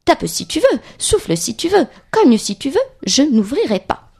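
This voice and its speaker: Woman playing a high, plucky little storybook pig. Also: sing-song, normal speed, creaky, a little mellow, exaggerated voice. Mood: defiant. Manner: sing-song, taunting refusals. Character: plucky little male pig, stubborn and defiant. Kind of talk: children's storybook reading.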